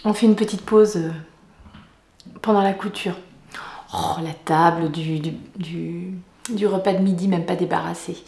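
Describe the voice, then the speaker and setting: A woman's voice: on the low side, fairly even intonation, a little slow, creaky, long pauses, warm tone, slight background noise. Chatty woman vlogging at home. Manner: chatty, self-mocking, many fillers and restarts. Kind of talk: casual vlog chat. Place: home kitchen and dining room. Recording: echoey room.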